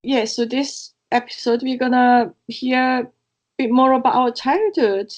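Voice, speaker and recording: A woman's voice: high-pitched, measured pace. A woman from Korea, co-hosting a casual podcast and telling stories with frequent laughs. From South Korea. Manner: chatty storyteller who laughs often. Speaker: podcast co-host. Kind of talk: casual chat. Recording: slightly dull lo-fi sound.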